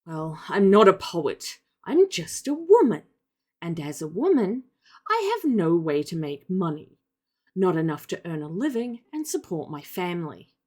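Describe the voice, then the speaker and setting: A woman's voice: on the low side, big pitch swings, a little mellow. A woman playing a pragmatic, proud young woman in a period drama, arguing earnestly and firmly. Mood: firm, indignant. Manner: earnest, firm, measured argument.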